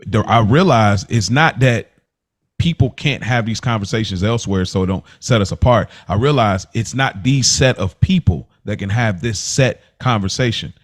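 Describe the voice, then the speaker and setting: A man's voice: fairly low pitch, moderate pace. A man co-hosting a podcast in casual conversation, reflective and emphatic, checking that listeners follow him. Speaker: podcast co-host.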